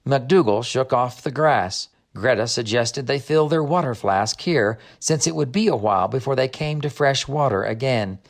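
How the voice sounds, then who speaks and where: A man reads a storybook aloud as narrator. His voice sits at a middle pitch, and his delivery is measured and expressive. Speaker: narrator, author reading own book.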